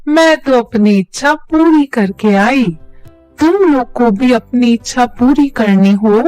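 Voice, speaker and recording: A woman playing a stern mother and mysterious women in a children's fable, with deliberate, commanding delivery. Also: a little high, darkish tone, no echo. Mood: coaxing. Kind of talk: scripted children's story acting. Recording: dry studio voice over music.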